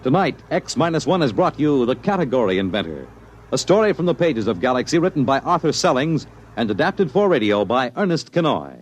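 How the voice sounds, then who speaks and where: A man's voice: mid-range voice, sing-song, a little fast, a little hiss or hum. A man with an American accent announcing from a script in 1950s radio style.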